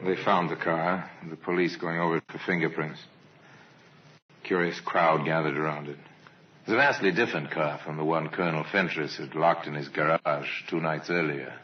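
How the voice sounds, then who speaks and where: A man narrating an old-time radio crime mystery as a grave storyteller, measured and dramatic, on a vintage recording. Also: very deep voice, steady tone, highs cut off, slight background noise.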